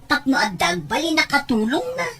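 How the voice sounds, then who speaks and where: Woman acting a plaintive, very high-voiced young wife. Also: wildly swooping pitch, moderate pace. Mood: regretful, bored. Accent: Filipino. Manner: plaintive, complaining. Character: unhappy, homesick young wife living abroad. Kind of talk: Ilocano audio drama acting.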